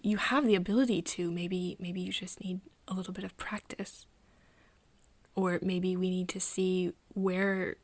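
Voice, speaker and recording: Soft, breathy woman acting a caring young friend. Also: on the low side, no room sound. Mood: tentative, curious. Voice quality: soft, breathy, often dropping into whispers. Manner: hesitant, halting, gently coaxing. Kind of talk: ASMR roleplay, intimate second-person acting.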